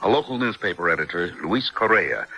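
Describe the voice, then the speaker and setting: An actor playing a blunt, principled newspaper reporter in a 1970s radio drama, matter-of-fact in delivery. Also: male voice, low-pitched, animated, fairly quick, pushed and bright, muffled recording, heavily acted. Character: hard-nosed, principled American newspaper reporter.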